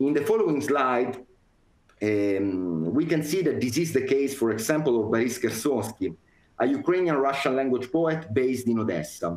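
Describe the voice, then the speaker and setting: A man's voice: medium pitch, big pitch swings, a little mellow. Guy giving an academic book talk on a video call, lots of ums, roomy sound. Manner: measured, with frequent fillers and restarts. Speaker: book author presenting research. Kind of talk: academic presentation.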